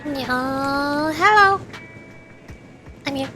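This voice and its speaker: A woman's voice: high voice, drawn-out words, sharp tone, rough recording. A woman VTuber giving casual, off-the-cuff commentary while streaming a game. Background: music.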